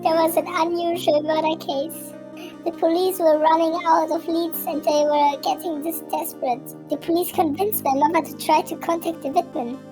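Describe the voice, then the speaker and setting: Forlorn young female medium amateur performance, very high voice, earnest and plaintive, comedy game dub with clear music bed. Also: flat delivery, normal speed, poor audio.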